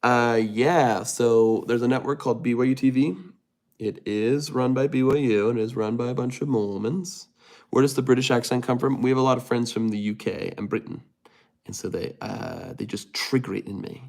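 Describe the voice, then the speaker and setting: A man's voice: mid-range voice, normal speed, vocal fry, a little mellow. A man hosting a casual livestream, reading viewer comments aloud and answering them in a rambling way with many restarts. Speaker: livestream host reading chat.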